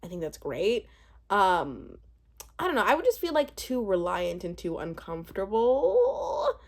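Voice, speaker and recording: A woman in her twenties giving a casual, chatty recap commentary, animated and rambling, often breaking off mid-thought. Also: mid-range voice, measured pace. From born in Uganda, spent part of childhood there. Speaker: YouTube host reviewing a reality show.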